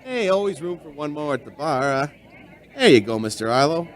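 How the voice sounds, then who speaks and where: Man playing a lively, folksy, curious barkeep. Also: fairly high pitch, a little fast. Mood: affable, nervous. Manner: folksy, hesitant, probing. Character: friendly, curious, folksy barkeep and amateur sleuth narrating his tale. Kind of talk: radio drama acting and narration.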